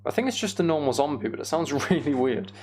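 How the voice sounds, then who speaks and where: A man's voice: a little high, big pitch swings, fast, darkish tone, breathy edge. A British man giving casual, wry game commentary, half muttering to himself and half chatting to viewers. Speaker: game streamer.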